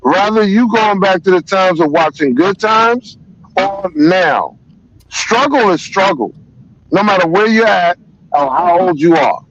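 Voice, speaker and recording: A man's voice: high-pitched, lively intonation, unhurried, a bit bright. An older man arguing back as a guest in a heated panel debate, insisting and repeating himself to be heard. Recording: dull lo-fi sound.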